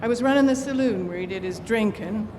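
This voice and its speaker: A woman's voice: fairly high pitch, close to an everyday voice. A woman playing a worldly, defiant saloon keeper in an old-time radio drama, with a wry, folksy manner. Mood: teasing, brash. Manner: folksy, drawn-out, sardonic. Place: live stage staged as a radio studio. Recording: reverberant hall with clear background noise.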